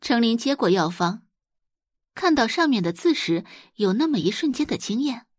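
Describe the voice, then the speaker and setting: A woman reading scripted fiction narration as an audiobook narrator, in an expressive storytelling style. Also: a little high, moderate pace, no room sound, clean studio sound.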